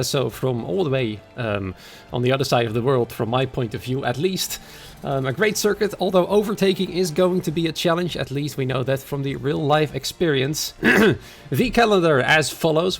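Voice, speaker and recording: A man commentating a sim racing league stream in a chatty way, his voice hoarse and raspy after an illness. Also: mid-range voice. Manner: chatty, conversational, greets viewers by name. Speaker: race commentator and league host.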